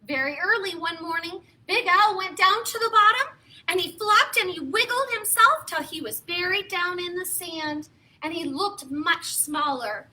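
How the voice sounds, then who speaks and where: Female children's storyteller, very high voice, slow expressive pacing, playful read-aloud delivery. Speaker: children's storytime host and reader.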